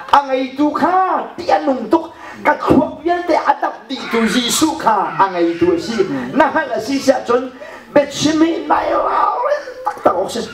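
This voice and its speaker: A middle-aged man preaching a sermon into a handheld mic in a church hall, animated and often laughing. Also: very high-pitched. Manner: animated, gesturing with one hand, often laughing. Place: church hall with a projection screen. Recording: echoey hall, recorded from far off.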